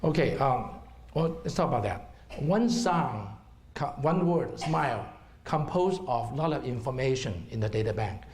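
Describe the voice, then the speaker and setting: A man's voice: medium pitch, expressive, moderate pace, darkish tone, slight background noise. Hong Kong-accented man explaining earnestly. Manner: earnest, explaining, checks in with "okay?". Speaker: herbal doctor giving a seminar. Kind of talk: seminar talk with slides. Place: seminar room.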